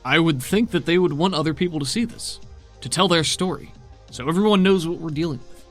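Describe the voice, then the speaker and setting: Man doing a pompous, high-pitched TV reporter. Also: a little fast, fairly bright tone, faint music behind, close to an everyday voice. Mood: indignant. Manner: grandiose, self-promoting delivery. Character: vain, pompous male TV reporter, self-important.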